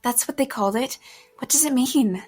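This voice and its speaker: A high, youthful female voice, acted by a woman playing a hunted young woman in an audio drama. She is frightened and bewildered. Her delivery is earnest and pleading, with hesitant breaks. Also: normal speed, darkish tone, lightly acted. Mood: anxious, earnest.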